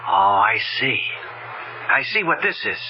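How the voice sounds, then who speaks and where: An actor playing a menacing, sly crook with slangy, tough talk in an old-time radio drama. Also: male voice, mid-range voice, very animated, unhurried. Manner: slangy, sneering, tough-talking. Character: menacing, sly, armed male crook demanding stolen diamonds. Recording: dull, noisy old radio recording.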